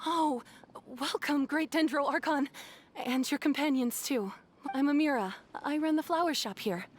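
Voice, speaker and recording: A woman voicing a kind, welcoming young wife who keeps a shop in a video game, polite and gentle in manner. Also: high voice, normal speed, lightly acted. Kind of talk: scripted video game voice acting.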